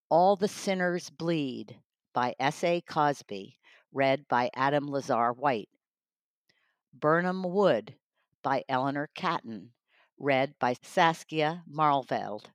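A woman's voice: low voice, no room sound. A woman hosting an audiobook podcast, introducing and interviewing guests in a presenter's style. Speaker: podcast host and magazine editor.

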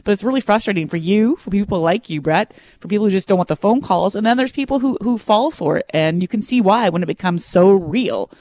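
A man with a high voice co-hosting a radio show. He explains in a steady, earnest way. The studio sound is dry and close. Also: brisk, few pauses, no echo. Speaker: radio co-host. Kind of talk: casual radio chat.

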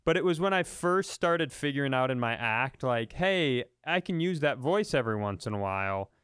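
A man hosting a comedy podcast with a smooth, clear voice and a very dry, close sound. He talks casually in rambling, profane riffs and stories, at an ordinary middle pitch. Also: animated, normal speed, fairly bright tone, no room sound. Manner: rambling, riffing, profane storytelling.

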